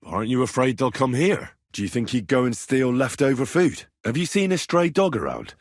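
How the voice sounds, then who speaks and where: Young male medieval adventurer for a video game: polite, plucky, husky, animated delivery. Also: medium pitch, brisk, dry recording, studio quality. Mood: curious. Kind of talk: video game character dialogue.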